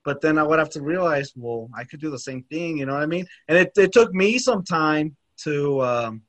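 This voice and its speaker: A young man with a fairly high voice talks reflectively, hesitating with plenty of "um" and "like" as he shares his experience in a relaxed podcast conversation. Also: moderate pace. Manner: reflective, hesitant, lots of fillers. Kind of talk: casual chat.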